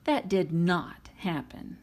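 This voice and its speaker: A woman's voice: lowish voice, expressive, takes it slowly, darkish tone. A woman voicing an alarmed, doubting male race car in a children's storybook. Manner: startled, questioning.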